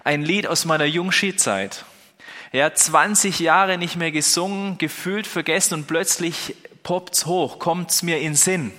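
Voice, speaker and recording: Bright, forceful young preacher, man. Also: a little high, a little slow. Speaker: pastor. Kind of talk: sermon. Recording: long hall reverb.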